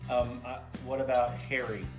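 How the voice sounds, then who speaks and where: A man speaking casually and a little haltingly as a sidekick on a children's puppet show, making up stories. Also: mid-range voice, flat delivery. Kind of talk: casual improvised storytelling for kids. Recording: roomy echo, faint music behind.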